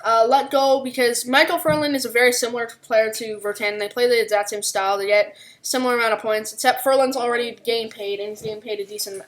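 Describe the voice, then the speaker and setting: Woman on a sports podcast, kinda high voice, unscripted analysis talk. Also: steady tone, quick pace, hardly any pauses, low-quality recording. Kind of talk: unscripted hockey analysis discussion.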